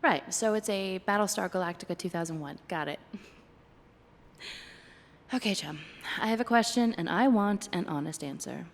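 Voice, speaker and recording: A woman's voice: fairly low pitch, normal speed, creaky, long pauses, big-room sound. A woman playing a flirty, playfully sarcastic date companion in a one-sided ASMR roleplay, with dry, hesitant asides. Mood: teasing, sarcastic.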